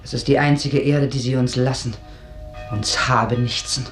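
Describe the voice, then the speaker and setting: Downtrodden maid, distant and echoey. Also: female voice, rumbling low, fairly even intonation, moderate pace, faint music behind. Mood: weary complaint. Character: downtrodden, weary maidservant, poor and resentful. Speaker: actor in a radio drama. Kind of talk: German radio drama acting. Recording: echoing room, away from the mic.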